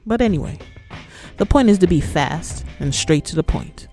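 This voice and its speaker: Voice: medium pitch, animated, moderate pace, dark tone, soft background music. A podcast host narrating a scripted educational piece in a playful, conversational way.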